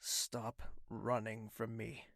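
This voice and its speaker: A woman acting a brooding, evasive young royal in an audio drama, husky and guarded but insistent. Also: a bass voice, flat delivery, slow, no room sound, clean studio sound, full character voice. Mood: insistent, defensive.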